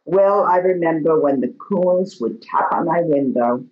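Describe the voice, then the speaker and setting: A woman reading poetry aloud in a slow recitation, recorded in an echoey room with dull audio. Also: low voice, animated. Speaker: poetry reader.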